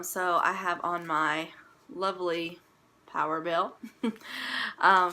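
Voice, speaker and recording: Chatty congested woman with gravelly edge. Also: lowish voice, pushed and bright. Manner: chatty, rambling with frequent ums. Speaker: vlogger. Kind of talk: casual talking-to-camera vlog. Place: her daughter's bedroom at home.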